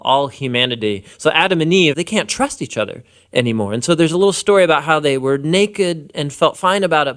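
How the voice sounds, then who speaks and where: A man's voice: medium pitch, a bit bright. A man co-hosting a Bible lesson, explaining things informally in conversational explainer narration. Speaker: Bible teacher, co-host.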